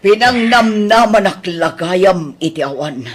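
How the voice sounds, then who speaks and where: A husky, slow young woman, hurt and reproachful, played by a woman. Her voice moves up and down a lot as she questions him in an audio drama. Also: medium pitch, animated. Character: Carol, a wounded young woman, a girlfriend.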